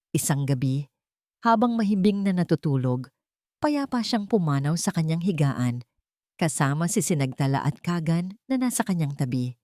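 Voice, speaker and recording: A woman's voice: on the low side, darkish tone, dry recording, clean studio sound. A woman reading a scripted, sentimental love story as its storyteller.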